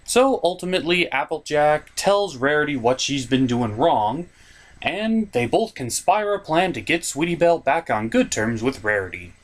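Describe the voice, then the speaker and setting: A man with a slightly high, lively voice delivers a scripted comic review. His pitch moves around a lot and his manner is sarcastic. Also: normal speed. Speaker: reviewer, host. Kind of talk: scripted comedic episode review.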